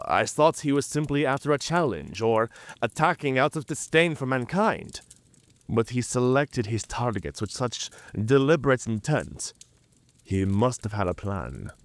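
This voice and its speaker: A man's voice: mid-range voice, no echo, lightly acted. A man playing a cunning, proud werewolf in an audio drama, with a theatrical, drawling and mocking delivery. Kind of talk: cinematic audio drama acting.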